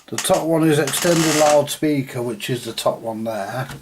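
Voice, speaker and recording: A man's voice: mid-range voice, moderate pace, noisy background. A British man giving casual, colloquial hands-on repair commentary, thinking aloud and muttering to himself with a mild grumble. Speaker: vintage radio repairer presenting a video.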